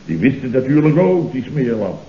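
Man playing a blustering, excitable Dutch company boss. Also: mid-range voice, normal speed, lightly acted. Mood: pompous, indignant. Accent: Netherlands Dutch. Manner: excitable, stammering, repeats words emphatically. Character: blustering, panicky, self-important male company boss. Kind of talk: 1970s Dutch radio comedy acting. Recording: dull, noisy, roomy old radio recording.

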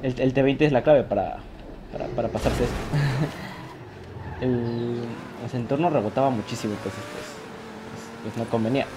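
A man giving lively commentary over his own online game, laughing often and mocking himself when he fails. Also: mid-range voice, moderate pace, long pauses, music in the background. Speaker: gaming youtuber. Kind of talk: live gameplay commentary. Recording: game audio noise behind the voice.

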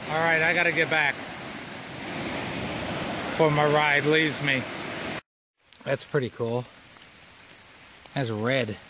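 A man casually narrating a rainy fall hike and campground tour, with offhand, wry asides. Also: medium pitch. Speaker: travel vlogger. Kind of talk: casual narration while hiking and driving. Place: outdoors on forest trails and a campground in the rain. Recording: noisy outdoor camera audio.

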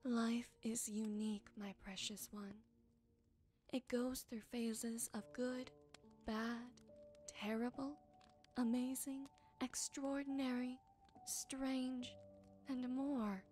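Woman acting a caring wise witch for asmr, slow and soothing, higher voice, music behind. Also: fairly even intonation, darkish tone. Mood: tender, reassuring. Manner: soothing, unhurried, tender. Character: gentle wise forest witch, caring and softly teasing. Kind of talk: ASMR roleplay.

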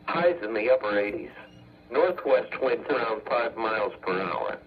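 Robot-made man voice reading the forecast on weather radio, measured phrases, kinda dull lo-fi sound. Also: on the low side, lively intonation. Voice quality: synthetic text-to-speech voice. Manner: measured, formulaic, segmented phrases. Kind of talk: automated weather bulletin reading. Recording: muffled weather radio broadcast.

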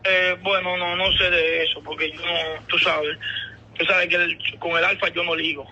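High-pitched, piercingly bright Dominican man on speakerphone. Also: little pitch movement, moderate pace. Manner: hesitant, repeats words while explaining. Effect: heard over a phone speaker. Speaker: caller. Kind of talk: casual phone conversation, explaining himself. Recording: tinny, muffled phone call on speakerphone.

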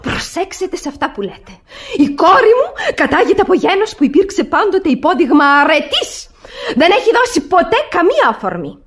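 A woman's voice: extremely high pitch, very animated. An actress playing a haughty older aristocratic mother-in-law in a radio comedy, pompous, affected and scolding. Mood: indignant pride. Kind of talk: radio theatre comedy acting.

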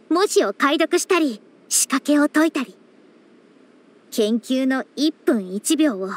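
High, proud young-sounding ancient scholar. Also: female voice. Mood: soft, reflective. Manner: archaic old-person phrasing, haughty, schoolmarmish. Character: youthful-sounding centenarian female scholar, proud and bossy. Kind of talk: scripted game character voice lines.

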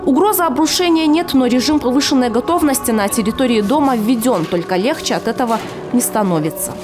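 Woman doing a tv news report, pretty high voice, music under it. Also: animated, brisk. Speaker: TV news correspondent. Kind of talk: scripted news report voice-over.

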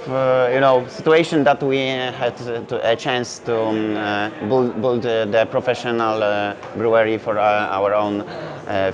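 A young man with a Polish accent, a native Polish speaker, answering interview questions in English with many fillers and restarts. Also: medium pitch. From Poland. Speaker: brewery founder. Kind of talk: festival interview. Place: indoor beer festival hall. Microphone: lapel mic. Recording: dull lo-fi sound with festival crowd chatter.

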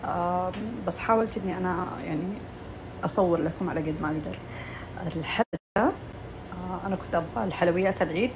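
Lady vlogging from her kitchen on her phone, voice kinda tired, talks fast, noisy background. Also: lowish voice. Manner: gushing, repeats words for emphasis. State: tired voice, coughing. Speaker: cooking vlogger. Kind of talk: casual chat to followers. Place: home kitchen, indoors.

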